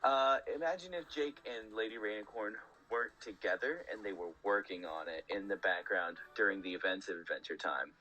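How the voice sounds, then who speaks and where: Low, bright-voiced man hosting casual chat. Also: a little fast, hardly any pauses, low-quality recording. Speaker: podcast host. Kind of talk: casual chat about cartoons. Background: game music.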